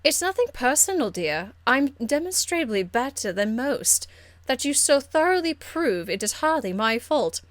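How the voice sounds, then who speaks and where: A woman voicing a haughty, aristocratic lady mage from a fantasy game, icily polite, measured and condescending. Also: high-pitched, sing-song, dry recording. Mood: lofty amusement. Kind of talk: video game character acting.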